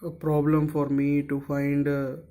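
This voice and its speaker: Slow, halting man. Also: on the high side, completely flat delivery, mellow. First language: Punjabi. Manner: hesitant, searching for words, many fillers. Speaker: DIY maker. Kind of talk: casual how-to narration.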